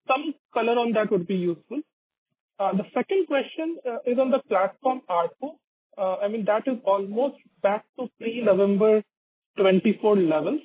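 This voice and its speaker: High-pitched, husky Indian man on phone. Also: dry recording. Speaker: analyst asking questions on an earnings call. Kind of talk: detailed unscripted question asking. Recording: dry, phone-like conference line.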